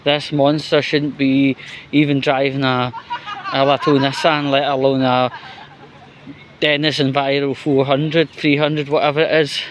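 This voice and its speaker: A Scottish man giving unscripted solo vlog commentary in a halting, hesitant, rambling way. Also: mid-range voice, little pitch movement, a little hiss or hum. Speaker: vlogger.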